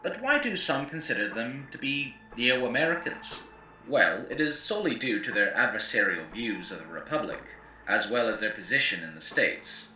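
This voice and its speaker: Guy acting as a wry wasteland radio host guy, super forceful sharp delivery, swings up and down, theatrical lore lecture. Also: mid-range voice, very animated, normal speed. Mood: wry, explanatory. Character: wry, opinionated male radio lore host of post-apocalyptic America. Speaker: narrator and host. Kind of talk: scripted in-character lore narration, lecture-like. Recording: roomy, distant mic, faint background noise.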